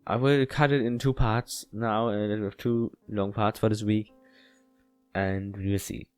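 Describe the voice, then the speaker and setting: Male let's player, lower register, stumbling casual read-aloud over light plucked guitar music. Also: fairly even intonation, darkish tone, clear, resonant tone, no room sound. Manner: halting delivery, stumbles and repeats words while reading. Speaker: video game let's player. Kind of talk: reading a visual novel aloud with casual commentary. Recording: music behind the voice.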